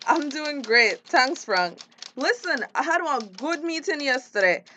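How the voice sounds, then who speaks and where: A woman's voice: high voice, animated, measured pace, bright, forceful tone, slight background noise, heavily acted. A woman acting a friendly, eager young community project worker in a radio drama, upbeat and chatty with a Caribbean accent. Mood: upbeat, curious.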